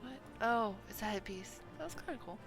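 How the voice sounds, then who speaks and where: A woman's voice: on the high side, lively intonation, a little fast, over music. A woman giving casual live commentary as she streams a game.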